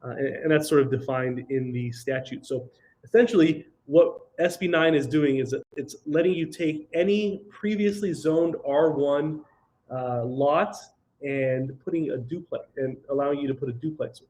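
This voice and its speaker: Man with a cold, halting, nasal. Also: medium pitch, darkish tone, poor audio. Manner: halting, many ums and restarts, occasional throat clearing. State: has a cold, slightly nasal. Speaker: presenter of a housing webinar. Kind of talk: online presentation with slides.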